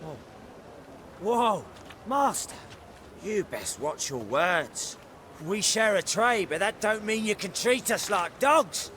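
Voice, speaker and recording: A man's voice: high-pitched, sing-song, slow pace, pushed and bright, a little hiss or hum, exaggerated voice. An actor playing a cocky male thug who needles a stranger for coin. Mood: menacing. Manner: wheedling, then menacing.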